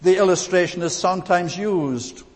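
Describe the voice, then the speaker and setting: Scottish preacher in a reverberant hall. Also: male voice, high voice, normal speed. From Scotland. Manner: earnest, emphatic, repeats words for stress. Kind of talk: expository sermon. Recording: long reverb of a large hall.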